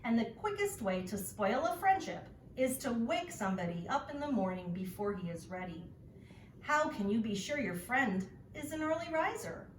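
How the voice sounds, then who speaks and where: A woman's voice: a little high, very animated, moderate pace, reverberant, distant mic, a little hiss or hum, voice pushed up, poor audio. A woman voicing a stern old sheep in a storybook, scolding and lecturing with disapproval. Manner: reproving, lecturing.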